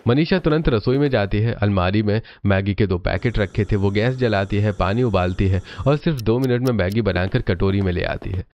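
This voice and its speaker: A man's voice: fairly low pitch, normal speed, few pauses, darkish tone, dull audio, slight background noise. A man reading scripted storybook narration for a cartoon.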